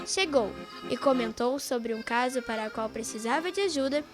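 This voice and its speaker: A woman's voice: fairly high pitch, expressive, moderate pace. A girl of about twelve with a Brazilian accent reads scripted narration for a radio play. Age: child, about twelve. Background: music.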